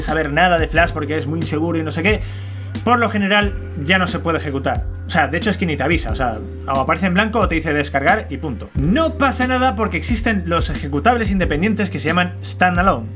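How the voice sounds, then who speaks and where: Higher-pitched Spanish man giving an informal tutorial. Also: a bit bright. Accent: Spain Spanish. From Spain. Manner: colloquial, chatty, conversational asides. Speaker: scratching tutorial presenter.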